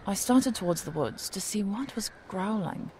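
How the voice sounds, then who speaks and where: An actress playing an ambitious young research scientist in an audio drama, precise, formal and self-important. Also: female voice, mid-range voice, lively intonation, moderate pace, a little mellow, a little hiss or hum, natural voice. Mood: uneasy. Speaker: actress, lead narrator of the episode. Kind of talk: scripted audio drama, first-person narration and dialogue.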